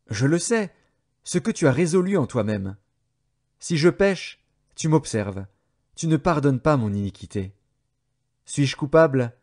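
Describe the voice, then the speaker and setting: Male narrator for audio Bible, slightly high voice, scripted scripture reading. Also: darkish tone.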